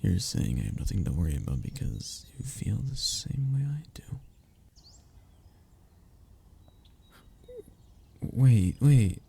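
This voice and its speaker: A man acting a lovesick, anxious young man in an intimate romantic audio roleplay, with a halting, emotional delivery and breathy murmurs. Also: medium pitch, dark tone, exaggerated voice. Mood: tender, disbelieving. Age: young adult. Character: lovesick, anxious young man confessing to childhood best friend.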